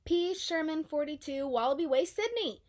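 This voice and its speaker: A woman's voice: high-pitched, expressive, moderate pace, a little mellow, pitched above the performer's own voice. A woman voicing a friendly, eager female blue fish in a storybook, full of exclamations. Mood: proud.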